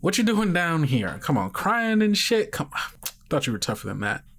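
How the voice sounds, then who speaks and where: A man voicing a gruff, protective, streetwise father who swears freely and goads in slang in a tabletop roleplay. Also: a little high, sing-song, moderate pace, fairly bright tone, slight background noise. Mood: gruff teasing. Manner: profane, slangy, goading, emphatic.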